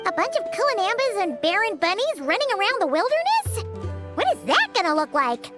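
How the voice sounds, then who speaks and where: A tiny, excitable fairy companion from a video game. The voice is extremely high, squeaky and childlike. It gushes in animated swoops, with music behind it. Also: female voice, fairly quick. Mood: curious. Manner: exclamatory, gushing.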